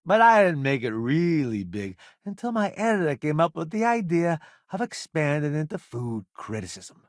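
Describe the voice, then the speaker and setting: A man's voice: a little high, sing-song, dry recording, studio quality. A man playing a vain, flamboyant food critic turned ship's cook in a video game, with a wry, theatrical delivery. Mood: breezy, wry. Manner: theatrical, wry, self-promoting, drawn-out emphatic delivery. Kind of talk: video game companion voice acting.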